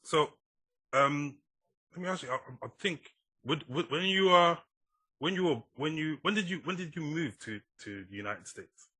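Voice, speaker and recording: A man's voice: fairly high pitch, expressive, normal speed, bright, forceful tone, slightly rough. A man hosting a podcast interview, chatting casually with fillers. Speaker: podcast host. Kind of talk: interview conversation.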